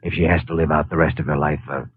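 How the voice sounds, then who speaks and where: An actor playing a calm, serious surgeon in a 1950s radio drama, speaking in a terse, measured, clinical manner. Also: male voice, very deep voice, breathy edge, no room sound. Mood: grave. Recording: muffled 1950 radio recording with faint hiss.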